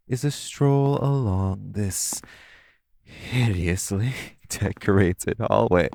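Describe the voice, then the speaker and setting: A man's voice: fairly low pitch, vocal fry, darkish tone, no echo, full character voice. A man playing a flirty, cocky supervillain master thief in an audio roleplay, teasing and theatrical. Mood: smug, relaxed. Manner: teasing, theatrical, purring, chuckling. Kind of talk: audio roleplay acting, intimate monologue to listener.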